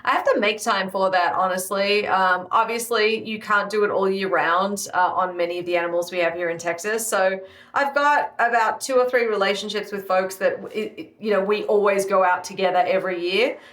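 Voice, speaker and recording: An Australian woman in her thirties talks through interview answers in a bright, chatty way, dotted with ums and quick asides. Her voice sits mid-range and carries a noticeable room echo. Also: mid-range voice, steady tone. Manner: chatty, upbeat, many fillers. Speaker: guest, live fire cook. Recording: echoey room.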